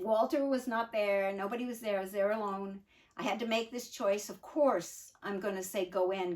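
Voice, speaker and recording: An older woman reading her own memoir aloud in an earnest, reflective way, pausing for emphasis and adding unscripted asides. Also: medium pitch. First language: English. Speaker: memoir narrator.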